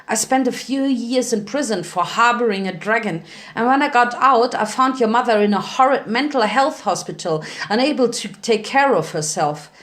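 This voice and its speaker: A woman voicing a weary, loving male pony father, halting and earnest, in a story reading. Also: fairly high pitch, a bit bright, natural voice. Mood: somber recounting. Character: lanky stallion pony father, guilt-ridden, weary, loving.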